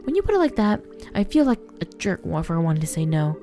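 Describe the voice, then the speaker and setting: A woman voicing a plucky, warm-hearted teenage heroine from a fantasy game, frank, playful and affectionate. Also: on the low side, big pitch swings, quick pace, soft background music. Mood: reluctant.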